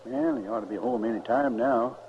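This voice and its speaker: A man's voice: medium pitch, fairly quick, lightly acted. A muffled, lightly noisy old radio western carries an actor as a stubborn frontier sheepherder. He is a protective, devout father with folksy, drawling rural Western American speech. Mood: uneasy, folksy.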